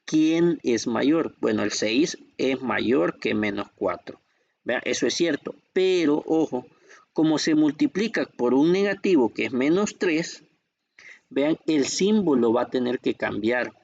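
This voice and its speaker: A man's voice: medium pitch, expressive, unhurried, creaky, darkish tone, dull audio. A man with a Salvadoran Spanish accent, teaching a math lesson from a textbook, patient and checking in with the class often.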